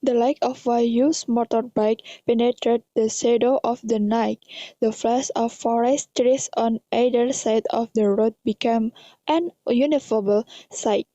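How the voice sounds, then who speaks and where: A woman's voice: on the high side, fairly even intonation, unhurried, warm tone. A woman with an Indonesian accent reading scripted story narration in careful non-native English. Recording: dull, echoey lo-fi recording.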